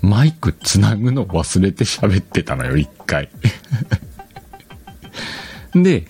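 A man with a very low, animated voice chats casually as a solo podcast host. He is playful and often corrects himself. Faint music sits behind his voice on the external microphone. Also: slow. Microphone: external microphone, recorded on a computer.

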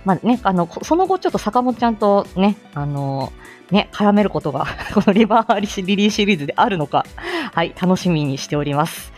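A woman's voice: mid-range voice, sing-song, fairly quick, faint music behind. A woman hosting a casual morning livestream, chatting in a giggly, exclaiming way. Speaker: live audio show host.

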